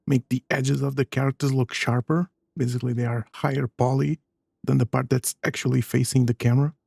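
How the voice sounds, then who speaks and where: A man's voice: medium pitch, creaky, mellow, husky, no room sound, studio quality. A man giving casual playthrough commentary as a gaming streamer.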